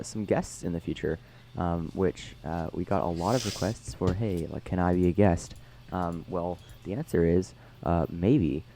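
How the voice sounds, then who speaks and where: A young man co-hosting a casual podcast, talking haltingly with many fillers, his voice tired after a concert. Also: deep voice, darkish tone, slight background noise. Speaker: podcast co-host. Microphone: headphones on, remote call.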